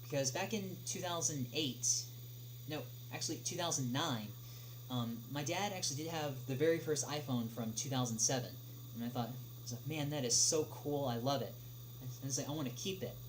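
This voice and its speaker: A young man hosts a casual livestream, reading questions and answering them off the cuff. His medium-pitched voice moves in hesitant stops and starts, full of filled pauses. A clearly audible noisy hum sits behind him. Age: young adult. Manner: halting, hesitant delivery with frequent long filled pauses. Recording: clearly noisy, humming background.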